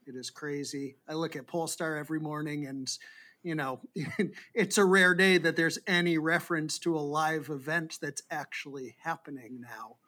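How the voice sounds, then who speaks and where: A man from Boston hosts an interview, his voice pitched a little higher than most men's. He talks in a chatty way and winds through long questions. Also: fairly even intonation, normal speed, bright, forceful tone. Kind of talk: casual interview chat.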